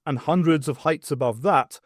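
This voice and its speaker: A man's voice: fairly high pitch, normal speed, no echo, studio quality. A man narrating a science fiction audiobook in a scripted, storytelling style.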